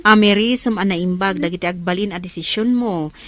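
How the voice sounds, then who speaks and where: A woman's voice: medium pitch, fairly quick, lightly acted. A woman with an Ilocano accent acting a matter-of-fact older sister giving advice in an audio drama. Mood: advising.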